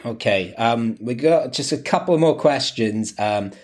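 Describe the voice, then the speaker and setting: A man hosting a casual football interview, speaking haltingly and repeating words as he goes. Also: mid-range voice, expressive. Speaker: host of a football fan podcast.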